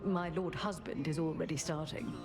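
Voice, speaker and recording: A woman's voice: deep voice, steady tone, normal speed, creaky. A woman voicing a haughty, skeptical queen of the gods in a video game, with a dry, imperious, formal manner. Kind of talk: scripted video game voice acting. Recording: guitar music behind the voice.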